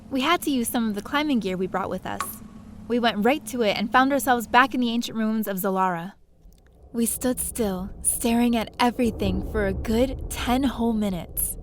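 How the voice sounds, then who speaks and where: A woman's voice: on the high side, moderate pace, noisy background, close to an everyday voice. A woman playing a curious, sheepish young adventurer who narrates her own story in an audio drama. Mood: reflective. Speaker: lead character and narrator. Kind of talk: first-person scripted story narration with dialogue.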